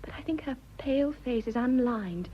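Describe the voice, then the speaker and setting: A woman's voice: sits high, lively intonation, normal speed, husky. An actress with a British accent playing an earnest, hesitant, idealistic young wife in her twenties in a radio drama. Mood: dreamy, earnest. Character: romantic, idealistic, nervous young English wife of twenty-five. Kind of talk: stage comedy radio drama acting. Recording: slightly dull, lo-fi audio.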